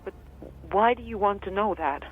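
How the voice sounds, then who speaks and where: A woman's voice: medium pitch, lively intonation, normal speed. An actress playing a suspicious, anxious middle-aged mother in a radio drama, questioning hesitantly through a telephone filter. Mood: worried, pressing. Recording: tinny phone line with background noise.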